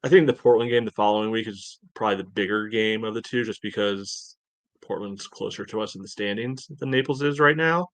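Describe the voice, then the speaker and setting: A man with a fairly low voice in a dry recording discusses sports as a podcast co-host. He speaks casually but carefully, weighing things up and qualifying his points as he goes. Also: fairly bright tone, dry recording. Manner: measured, hedging, reasoning aloud.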